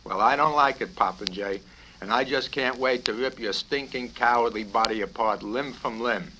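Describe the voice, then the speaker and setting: A man reading a satirical novel, voicing a bullying, foul-mouthed military colonel who blusters and shouts his questions. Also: mid-range voice, moderate pace, close to an everyday voice. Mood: menacing. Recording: noisy 1970s vinyl record with clicks.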